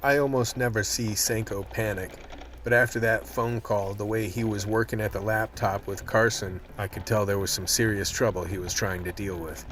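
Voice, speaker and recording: An actor playing a tough, world-weary crew leader in a radio drama, blunt, terse and streetwise. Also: male voice, lowish voice, fairly even intonation, normal speed, a bit bright, close to an everyday voice. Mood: grim narrating. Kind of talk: radio drama acting with noir narration.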